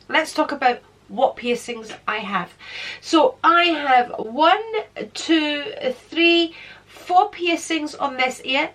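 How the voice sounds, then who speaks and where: A woman's voice: sits high, sharp tone. A middle-aged woman in a casual vlog chat, a little congested from a cold, talking easily as she points things out. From Britain. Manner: chatty, counting and pointing things out. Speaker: beauty vlogger.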